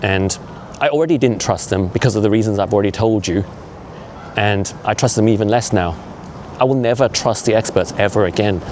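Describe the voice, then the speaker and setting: Low-voiced man in his fifties talking outdoors by traffic. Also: a little mellow. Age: 50s. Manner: opinionated, earnest, conversational with frequent asides. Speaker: vlogger. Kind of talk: casual walking vlog monologue. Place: city street outdoors. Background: traffic and urban street noise.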